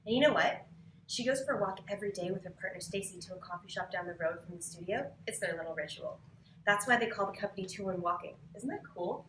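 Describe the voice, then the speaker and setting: A woman's voice: medium pitch, fast talker, close to an everyday voice. Woman playing an earnest, optimistic young assistant, roomy. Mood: cheerful. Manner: bright-spirited, earnest. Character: upbeat, optimistic aspiring actress turned assistant. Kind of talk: live table read of a novel. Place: cramped bookstore event, live. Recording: echoey live bookshop, faint noise.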